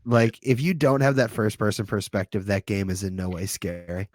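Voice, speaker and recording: A man's voice: lowish voice, steady tone, moderate pace, no room sound. A man co-hosting a podcast, giving emphatic opinions on films and games in casual conversation. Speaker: podcast co-host. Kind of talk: casual film and game discussion.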